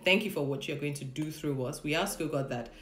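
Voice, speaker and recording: A woman's voice: deep voice, brisk, reverberant. A woman hosting a casual live-streamed chat at home with her husband, greeting viewers, laughing often and leading a prayer. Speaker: host of a live session. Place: home room, indoors.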